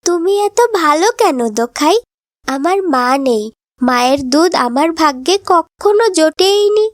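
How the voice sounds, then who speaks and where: Devoted little girl, cartoon melodrama. Extremely high voice, pleading and plaintive, dry recording. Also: lively intonation, unhurried, dry recording. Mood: loving, earnest.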